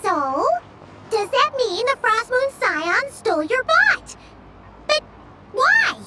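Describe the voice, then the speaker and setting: Piercingly high, squeaky fairy sidekick. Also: female voice, very animated, slow, distant mic, heavy background noise, heavily acted. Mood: puzzled. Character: tiny excitable fairy-like sidekick, childish and nosy. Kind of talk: video game voice acting. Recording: loud background music.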